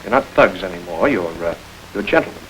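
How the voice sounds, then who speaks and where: A suave gentleman crook from an old crime film, talking quickly with dry, urbane wit. He gives orders smoothly, never raising his voice much, over a faint tape hiss. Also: sits low, animated, rough recording. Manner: urbane, wry and smoothly commanding. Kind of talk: 1930s film crime drama.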